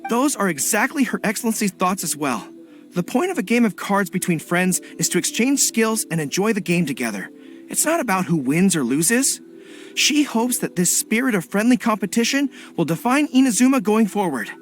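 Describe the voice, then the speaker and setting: A man's voice: high voice, big pitch swings, normal speed, faint music behind. An actor playing a polite, earnest, loyal young male general in a game cutscene.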